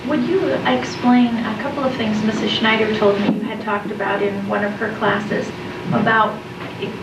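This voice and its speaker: A woman teacher asks a guest a question, halting now and then with a gravelly edge to her voice. She is far from the mic in an echoey classroom with loud noise over the recording. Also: medium pitch, fairly even intonation. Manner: halting, with fillers. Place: school classroom. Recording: echoey, distant and very noisy.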